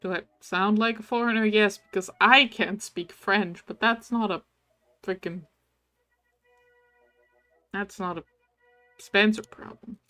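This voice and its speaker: Slow-talking woman streamer, dry close sound. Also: mid-range voice, fairly even intonation, pushed and bright, no room sound, faint music behind. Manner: reads game text aloud between musing asides; coos affectionately at game pets. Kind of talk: casual game-stream commentary.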